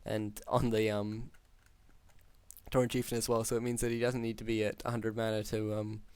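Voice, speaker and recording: A man calling a strategy game match alone, talking through plays with many ums, his voice hoarse after hours of casting. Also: lowish voice, moderate pace, no room sound. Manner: steady, filler-heavy analytical play-by-play. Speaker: game caster. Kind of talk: solo esports play-by-play commentary.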